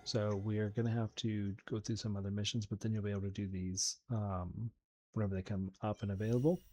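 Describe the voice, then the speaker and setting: A man's voice: on the low side, level tone, fairly quick, warm tone. A man giving casual, easygoing game commentary, thinking aloud as he plays. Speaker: host of a game playthrough channel. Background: game music behind the voice.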